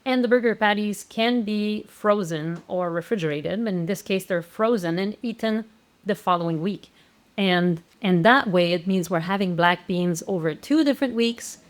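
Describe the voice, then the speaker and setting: A woman's voice: mid-range voice, normal speed. A woman walking through meal plans as an instructor, in a casual, conversational manner with frequent fillers and restarts. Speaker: plant-based meal planning instructor. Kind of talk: casual explanatory walkthrough.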